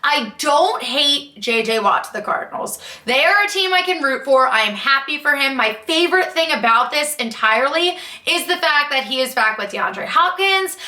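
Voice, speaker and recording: High-pitched, bright young woman talking sports. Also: moderate pace. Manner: chatty, expressive, emphatic, slangy and self-interrupting. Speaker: sports vlogger and podcast host. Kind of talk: casual, opinionated sports commentary to camera. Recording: roomy, echoey home recording.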